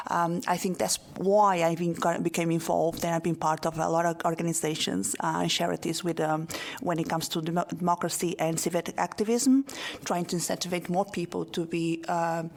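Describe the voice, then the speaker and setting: A woman speaks on a discussion panel, her low voice carrying a gravelly fry. She talks earnestly, pausing on fillers and restarting her sentences as she works out her point. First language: Portuguese. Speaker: local councillor on a panel.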